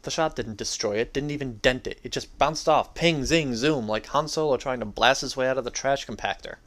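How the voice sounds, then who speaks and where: A man's voice: mid-range voice, fairly quick, fairly bright tone. A young adult man giving a dramatic reading of his own first-person story.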